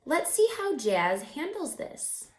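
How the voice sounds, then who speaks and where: A woman reading a children's picture book aloud as a teacher, expressively, pausing to put questions to young listeners. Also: sits high, very animated, echoey room.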